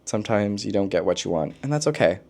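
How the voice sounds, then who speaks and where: A man chatting casually as a book vlogger, expressive and slangy, with playful asides. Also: fairly low pitch, fast, gravelly, soft background music. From lives in Korea, origin unstated. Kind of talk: casual chat, book recommendations to camera.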